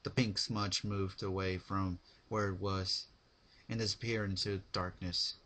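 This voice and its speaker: A man giving a dramatic story narration, with deliberate, halting phrasing. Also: sits low, little pitch movement, pushed and bright.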